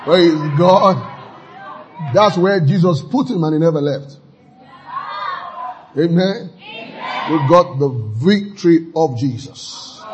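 A male pastor preaching a sermon, exuberant, with sudden shouts of praise between stretches of scripture reading. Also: fairly high pitch, takes it slowly, pauses often, a faint crowd, slight background noise. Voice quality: very husky.

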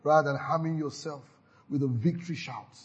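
A man's voice: fairly high pitch, fairly quick, breathy edge, muffled recording. A man preaching a sermon, exhorting his listeners and leading them in repeated declarations with frequent hallelujahs. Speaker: pastor. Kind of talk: sermon with call-and-response declarations.

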